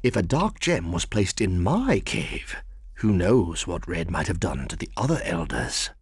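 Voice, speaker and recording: Gravelly, rambling old dragon elder. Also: male voice, deep voice, no echo, clean studio sound, heavily acted. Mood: concerned. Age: older. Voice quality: gravelly, husky. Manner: meandering, expressive, drawn-out delivery. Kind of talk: video game character dialogue.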